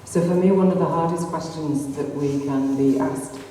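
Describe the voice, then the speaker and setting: A woman's voice: low voice, fairly even intonation, moderate pace, dark tone, a little hiss or hum. Earnest Greater Manchester woman in echoey hall. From North West England. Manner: earnest, impassioned, with frequent ums and rhetorical questions. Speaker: activist speaker. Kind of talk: public talk to an audience. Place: arts centre hall. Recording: distant echoey hall recording.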